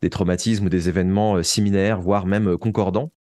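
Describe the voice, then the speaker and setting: A man's voice: deep voice, steady tone, brisk, dark tone, no room sound. A man explains things casually as an interview guest, often hesitating and repeating words as he looks for the right phrase. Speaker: interview guest, writer of audio fiction.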